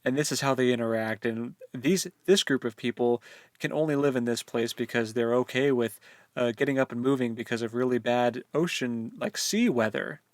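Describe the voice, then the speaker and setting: A man's voice: mid-range voice, moderate pace, no room sound, clean studio sound. A man co-hosting a casual book discussion, thinking aloud and conciliatory toward the other host.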